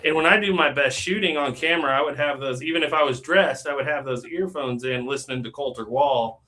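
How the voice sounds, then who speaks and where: A man's voice: medium pitch, fairly quick, bright, forceful tone. A man talking as a guest on a casual live stream, chatty, with lots of fillers and easy laughs.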